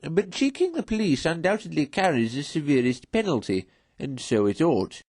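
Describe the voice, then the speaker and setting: A man's voice: on the high side, very animated, darkish tone, no echo. A man acts out an officious clerk of the court from a storybook. He is fussy and methodical, drily severe as he sets things out, recorded close and dry. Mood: fussy, matter-of-fact. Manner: fussy, methodical, deliberate listing. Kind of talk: storybook character voice in a read-aloud.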